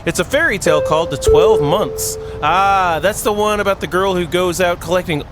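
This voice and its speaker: A man's voice: sits high, moderate pace. A man hosting a gaming video, reading a story aloud in a goofy way and breaking off for joking asides, with game music behind him. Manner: goofy, giggly, exclaiming, drifts between reading and quips.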